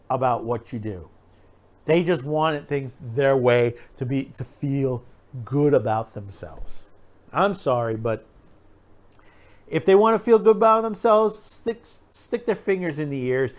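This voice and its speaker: Higher-voiced man rambling out his opinions. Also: a little mellow. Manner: rambling, repeats himself, emphatic. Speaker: host of an opinion video channel. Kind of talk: casual opinion commentary to viewers.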